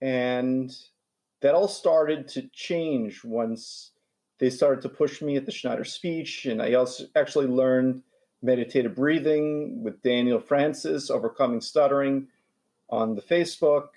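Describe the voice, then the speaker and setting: A man's voice: mid-range voice, a little slow, darkish tone. A man in his forties from New York who stutters, with repeats and restarts, talking as a guest in a casual interview. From New York, USA. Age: 40s.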